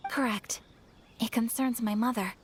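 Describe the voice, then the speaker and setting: Woman as a high-voiced, refined young noblewoman. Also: fairly even intonation, very noisy, close to an everyday voice. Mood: earnest, polite. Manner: formal, courteous, measured. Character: refined, gentle young noblewoman, polite and dutiful. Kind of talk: video game character acting.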